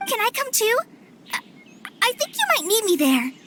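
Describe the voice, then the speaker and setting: Earnest, high-voiced young forest ranger girl. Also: very animated, moderate pace, a bit bright, slight background noise, exaggerated voice. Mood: earnest, hesitant. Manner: hesitant, earnest. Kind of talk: animated game cutscene acting.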